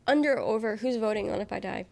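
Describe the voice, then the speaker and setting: A young woman talks loosely to her stream audience while she is sick. Her voice is nasal with a gravelly fry underneath, and her speech wanders as she reads chat questions and answers them. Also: mid-range voice, fast talker, darkish tone. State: sick, congested voice. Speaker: livestreamer. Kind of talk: casual chat with viewers.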